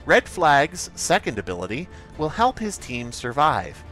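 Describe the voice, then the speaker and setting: A man's voice: on the high side, very animated, measured pace, a bit bright, soft background music, low-quality recording. A man playing an earnest, creepily awkward game developer who hesitates sheepishly through a parody update presentation.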